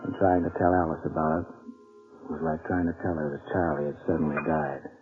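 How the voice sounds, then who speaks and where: A man's voice: very deep voice, steady tone, brisk. An actor playing a troubled, defensive middle-aged police detective in an old-time radio drama, with a guilt-ridden father's confessional manner. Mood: grave, confessional. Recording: narrow tinny vintage radio, faint music.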